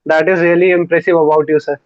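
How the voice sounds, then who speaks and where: A polite man moderates the session, reading audience questions and deferring constantly. His voice sits a little high. The audio is dry and muffled, like a low-quality call. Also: little pitch movement, fast, no room sound. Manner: polite and deferential, ends lines with "sir".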